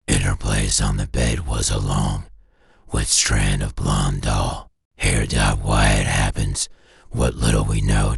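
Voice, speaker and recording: Man, horror narrator, rock-bottom pitch, very husky rasp, ominous, deliberate clipped phrasing. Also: no echo, clean studio sound. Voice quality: gravelly, husky. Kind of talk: scripted analog horror narration, second-person.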